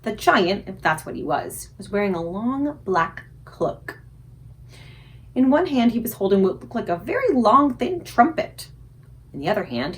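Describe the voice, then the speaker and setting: Animated woman reading a children's storybook. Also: medium pitch. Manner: dramatic, suspenseful emphasis with deliberate pauses. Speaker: librarian reading aloud for a book club. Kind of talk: expressive storybook read-aloud for children.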